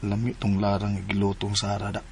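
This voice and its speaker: An actor in a radio drama playing a young, easygoing, clingy band musician. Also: male voice, fairly low pitch, completely flat delivery, fast, creaky, a little mellow, slight background noise. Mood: casual, clingy.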